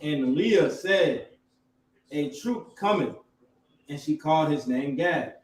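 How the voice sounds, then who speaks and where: A man's voice: a little high, lively intonation. A man student in a class, hesitant in his answers and reading scripture aloud. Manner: hesitant, halting. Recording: distant, echoey room.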